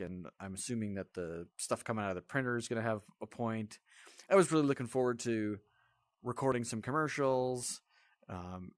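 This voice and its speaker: A man with a fairly low voice reviews a video game off the cuff. He is blunt and grumbles as he goes, sounding exasperated. The recording is dry, with very little room sound. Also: no echo. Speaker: host of a VR game review channel.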